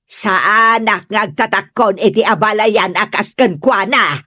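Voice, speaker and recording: A woman's voice: mid-range voice, normal speed, bright, forceful tone, no room sound, clean studio sound. A woman playing a proud, disapproving middle-aged mother who scolds bluntly in an Ilocano comedy drama. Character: disapproving, proud, quarrelsome mother of a grown son.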